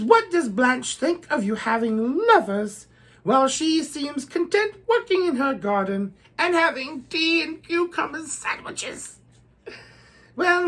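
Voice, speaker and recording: A woman's voice: sits high, a bit bright, exaggerated voice. A woman playing a grand, bawdy English duchess with a posh accent, theatrically answering fan letters in a comic monologue full of affectionate endearments. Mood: theatrical, flattered, then coy, self-satisfied. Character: posh, bawdy, self-adoring English duchess, middle-aged or older woman. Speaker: host.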